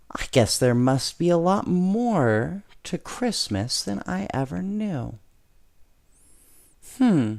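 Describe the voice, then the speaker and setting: Slow-paced man reading a bedtime story. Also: mid-range voice.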